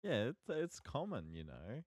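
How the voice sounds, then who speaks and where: A man co-hosting a podcast, chatting casually about media in a halting, emphatic, sweary way. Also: mid-range voice, wildly swooping pitch, slightly rough, no echo. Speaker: podcast co-host.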